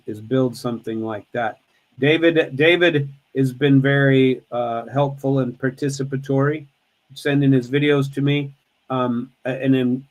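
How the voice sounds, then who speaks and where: A man's voice: mid-range voice, poor audio. A man in his sixties hosting a live stream, chatting casually with family, rambling and joking with many restarts. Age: 60s.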